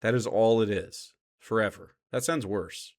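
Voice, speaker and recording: A man's voice: on the low side, measured pace. A man doing casual live reaction commentary as a streamer, argumentative, sarcastic and given to swearing.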